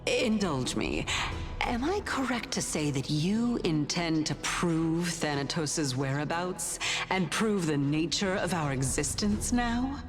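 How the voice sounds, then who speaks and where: An actress playing an aloof, authoritative woman in a video game, speaking in a measured, formal and probing way. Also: deep voice, lively intonation, faint music behind. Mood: skeptical. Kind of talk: dramatic video game voice acting.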